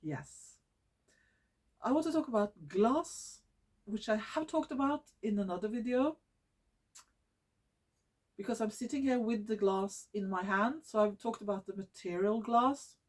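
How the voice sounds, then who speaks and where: A woman's voice: mid-range voice, a little slow, long pauses. Norwegian woman vlogging, hesitant, many fillers. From Norway. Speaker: environmental vlogger. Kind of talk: casual talk to camera. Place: living room at home.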